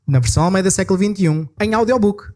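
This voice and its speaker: Portuguese pastor reading scripture emphatically. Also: male voice, sits high, mellow. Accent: European Portuguese. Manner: reads verses slowly with rising emphasis, then talks quickly between passages; self-correcting, apologetic asides.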